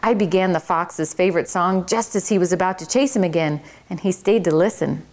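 A woman reading aloud and voicing a gentle, homesick male cricket who speaks softly. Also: lowish voice, lightly acted. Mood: wistful. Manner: soft, wistful, unhurried. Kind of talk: children's book read-aloud.

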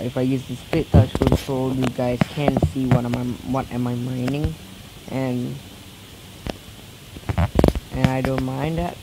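A man's voice: medium pitch, gravelly, very noisy. Sick-sounding teenage boy gamer. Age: teens, fourteen. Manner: rambling, chatty, many restarts. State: weak voice from recent illness. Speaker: gameplay commentator. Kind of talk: casual let's-play commentary.

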